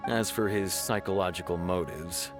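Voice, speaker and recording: Man acting low-voiced, earnest samurai lawman. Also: fairly even intonation, normal speed, lightly acted. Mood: exasperated. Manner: formal, explanatory. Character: earnest, exasperated male samurai officer of the law. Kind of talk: anime-style video game voice acting. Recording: clear music behind the voice.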